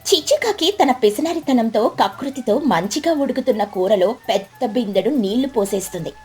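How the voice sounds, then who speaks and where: High, husky woman narrating a children's story. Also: lively intonation, moderate pace, mellow. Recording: background music bed.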